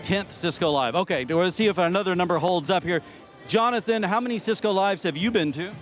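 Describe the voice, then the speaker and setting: A man co-hosting from a convention show floor, chatty and lively as he interviews guests. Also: high-pitched, brisk, soft background music. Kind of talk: live event interviewing.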